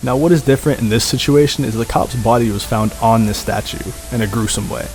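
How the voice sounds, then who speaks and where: A man's voice: medium pitch, fairly even intonation, brisk. A man narrates as a video host, with music playing behind him. He tells it like a story and slips in casual, conversational asides as he comments on horror footage. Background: background music.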